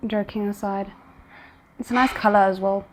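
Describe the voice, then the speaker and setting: A woman giving casual, expressive reaction commentary on a series, thinking aloud in an informal way. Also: mid-range voice, normal speed, slight background noise. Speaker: reaction video host.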